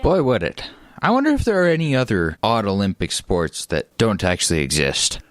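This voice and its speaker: A man's voice: lowish voice, big pitch swings, moderate pace, no room sound, studio quality. A man co-hosting a comedy radio show in casual comic banter.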